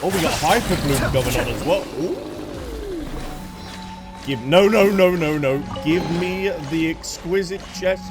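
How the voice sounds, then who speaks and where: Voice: medium pitch, unhurried. A gaming streamer giving casual commentary while playing. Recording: game music under the voice. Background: game music.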